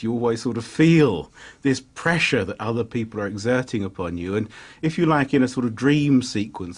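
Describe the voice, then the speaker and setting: A historian explains his ideas in a thoughtful interview, pausing to find words. His voice sits in a middle range. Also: mid-range voice. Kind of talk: interview answers.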